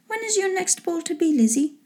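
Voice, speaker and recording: A woman voicing an excitable middle-aged mother with frayed nerves in a storybook reading. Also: fairly quick, vocal fry, exaggerated voice. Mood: fretful scolding. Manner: shrill, complaining, gushing, exclamatory.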